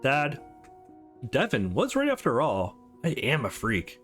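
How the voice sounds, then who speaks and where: A man's voice: medium pitch, huge pitch swings, measured pace, a bit bright, soft background music. A man voicing an earnest, guarded young woman in a visual novel, with a hesitant, confessional manner. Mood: confessing.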